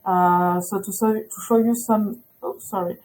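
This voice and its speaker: A woman's voice: medium pitch, fairly even intonation, unhurried, darkish tone, poor audio. A woman presenting a school's program in a webinar, talking hesitantly with many filler sounds.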